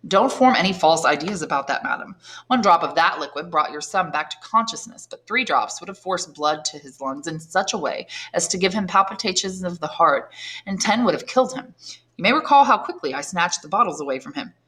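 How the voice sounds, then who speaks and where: Woman reading a suave nobleman: calm, knowing, courteous, lower-pitched storybook voice. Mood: smooth, knowing. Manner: measured, courteous, lecturing.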